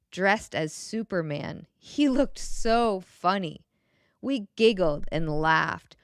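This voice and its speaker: A woman reading a children's picture book aloud in a gentle, expressive way, pausing to put questions to the young listener. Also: medium pitch, dry recording, studio quality. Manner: gentle, expressive, clearly enunciated storybook delivery.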